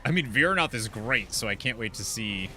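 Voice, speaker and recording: A man streaming a video game, giving informal, off-the-cuff commentary in a casual, animated way. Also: lowish voice, fairly quick, slight background noise. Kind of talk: casual livestream gameplay commentary.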